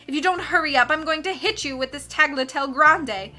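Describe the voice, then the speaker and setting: A woman voicing a wicked, vain male villain who shouts at children and cackles, reading a storybook aloud over music. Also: sits high, a little fast, voice pushed up. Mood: menacing.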